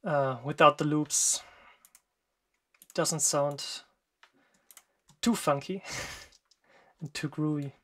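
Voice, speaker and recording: A native German speaker with a German accent walks through a production project at an unhurried pace. The delivery is hesitant and halting, with frequent fillers and short pauses. The voice sits in an ordinary middle pitch, and the tone is relaxed and self-deprecating. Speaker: music producer. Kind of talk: screen-recorded production walkthrough.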